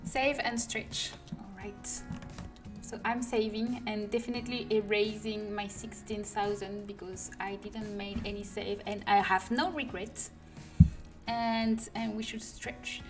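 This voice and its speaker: Slow-talking French-accented woman streamer, murmurs while working, recorded from afar over music. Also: mid-range voice, level tone. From France. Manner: muttering to herself, often dropping to a whisper. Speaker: livestreamer. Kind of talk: casual livestream while working. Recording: distant mic with music behind.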